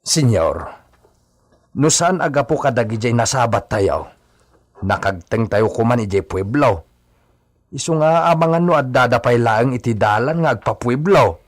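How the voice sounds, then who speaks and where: A deferential, cautious henchman played by a man in a radio drama. His voice is husky and very lively in pitch. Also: moderate pace, no room sound, clean studio sound, lightly acted.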